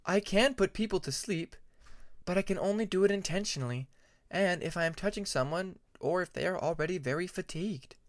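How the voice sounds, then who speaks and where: A man voicing a young female dragon who explains things calmly and patiently in a measured, earnest way. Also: high-pitched, voice pushed up, close to an everyday voice. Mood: calm explaining.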